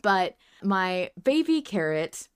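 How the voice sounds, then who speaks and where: Excitable woman chatting on a fan podcast. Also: mid-range voice, slow pace, smooth. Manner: excitable, emphatic, sweary. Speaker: podcast host. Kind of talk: casual fan podcast chat.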